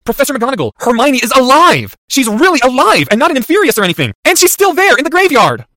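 A man plays a teenage boy wizard in a dramatic audiobook, gripped by panic and dire visions. The voice is pushed high and bright, with urgent, strained outcries. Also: big pitch swings, fast, no echo, clean studio sound. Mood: frantic.

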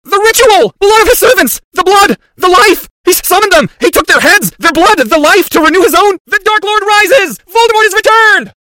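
A man's voice: high voice, sing-song, fast talker, a bit bright, dry recording, pitched above the performer's own voice. A man voicing a panicked teenage wizard boy with urgent, strained outcries in a dramatic audiobook. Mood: shrieking, terrified.

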